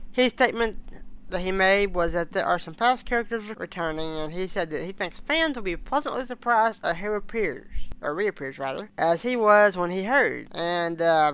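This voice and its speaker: A woman with a smooth, slightly low voice presents a fan podcast in a news-report style. Her delivery is a little hesitant and halting, and her tone stays clean and resonant. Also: a bit bright, rough recording. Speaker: podcast host.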